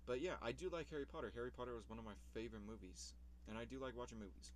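A man's voice: medium pitch, rapid-fire, slight background noise. A young man in casual vlog commentary, rambling about his old videos, swearing casually and laughing at himself.

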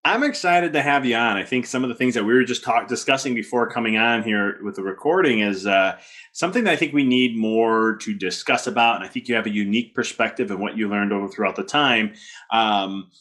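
A man's voice: on the low side, fairly quick, a bit bright. A man speaking as a podcast host, introducing the show and chatting with a guest in a conversational way. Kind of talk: podcast hosting, conversational interview.

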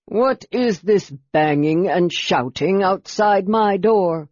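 A man's voice: fairly high pitch, lively intonation, a little slow, darkish tone, breathy edge. A man playing an acerbic, impatient master chronicler in an audiobook, with a curt, imperious, formal manner. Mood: irritated. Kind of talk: audiobook character voice. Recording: roomy echo, slightly dull sound.

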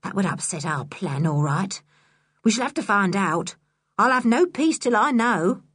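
A woman voicing a shrill, bossy, scheming middle-aged woman in a storybook reading. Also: lively intonation, normal speed, breathy edge, no room sound. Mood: fretful. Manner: loud, sharp, demanding.

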